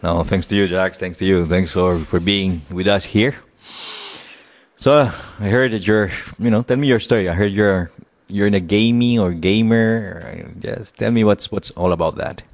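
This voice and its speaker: A low-voiced man hosts an interview podcast and asks his questions haltingly, in simple phrases. The audio is muffled and lo-fi. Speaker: podcast host. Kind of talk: casual interview. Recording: dull lo-fi sound.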